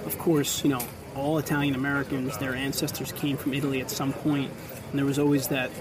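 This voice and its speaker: A man's voice: medium pitch, flat delivery, fairly quick, recorded from a distance. A man hosting a podcast, interviewing a guest in a casual way with short encouraging replies. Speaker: podcast host. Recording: outdoor recording with street noise.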